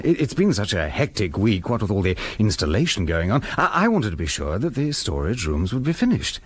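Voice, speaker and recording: A man's voice: lowish voice, huge pitch swings, a little fast, slightly rough, heavily acted. An actor playing an eager, ingratiating British employee with a nervous edge in a radio drama, hesitating and repeating his words as he explains. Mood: apologetic, eager. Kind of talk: mid-century British radio drama acting.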